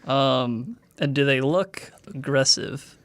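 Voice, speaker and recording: A man's voice: mid-range voice, slow pace, no echo. A man playing a tabletop role-playing game, talking in a casual, conversational style.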